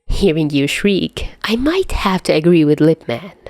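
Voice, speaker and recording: A woman's voice: low voice, sing-song, unhurried, a little mellow, dry recording, studio quality. A woman voicing a grumpy, sarcastic, foul-mouthed young male mafioso in an audio story. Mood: smug amusement. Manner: growling, sarcastic, swearing.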